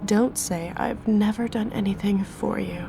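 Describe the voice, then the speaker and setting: A woman's voice: medium pitch, steady tone, a little slow, gravelly, music in the background. A woman playing a cold, menacing, controlled female Grim Reaper in an audio drama.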